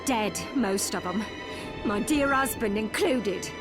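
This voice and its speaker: High, slow-spoken vengeful widow. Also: female voice, expressive, over music. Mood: shaken, grieving. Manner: emotive, folksy, pleading. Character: grief-stricken widowed villager bent on vengeance. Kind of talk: video game voice acting.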